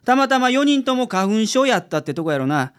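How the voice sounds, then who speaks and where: A man's voice: high voice, big pitch swings, brisk, fairly bright tone, clear, resonant tone. Guy doing a laid-back kansai detective, swingy intonation, sure of himself, radio drama acting. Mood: confident explaining. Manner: casual, confident, explanatory. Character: unflappable, easygoing male private detective who explains cases.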